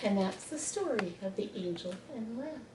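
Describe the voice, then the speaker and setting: A woman reading a children's bedtime story expressively, with dramatic pauses. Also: medium pitch, animated, noisy background. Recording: echoey room, distant mic.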